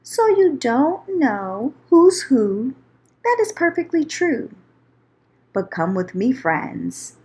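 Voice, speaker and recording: A woman voicing a sly, smooth-talking male swindler from a children's storybook, coaxing customers with a theatrical sales pitch. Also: sing-song, takes it slowly, darkish tone, heavily acted. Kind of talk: children's storybook read-aloud.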